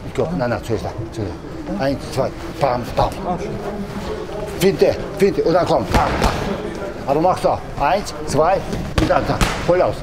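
A man's voice: mid-range voice, animated, measured pace, long pauses, over a noisy crowd. A middle-aged man coaching pad drills, calling short counts and commands in a large indoor boxing gym, picked up by a camera at a distance. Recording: gym noise with loud thuds.

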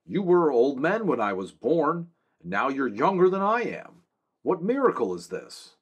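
A man reading aloud gives an earnest young king from a fantasy novel. The voice sits higher than his own and stays husky, with a lively, rising and falling delivery. The figure comes across as anguished and determined. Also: measured pace, muffled recording, full character voice. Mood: bewildered.

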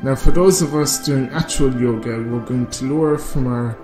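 An actor playing a serene, condescending, passive-aggressive yoga instructor leading a class in a radio drama. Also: male voice, mid-range voice, moderate pace, close to an everyday voice. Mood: patronising. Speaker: yoga teacher. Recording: roomy echo, music behind.

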